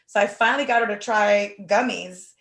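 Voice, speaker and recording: A woman in her thirties from Oakland, hosting a podcast in animated, casual conversation with lots of "like". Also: mid-range voice, normal speed. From Oakland, California. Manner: animated, talks in long runs with fillers like "like". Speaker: podcast host. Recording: roomy echo.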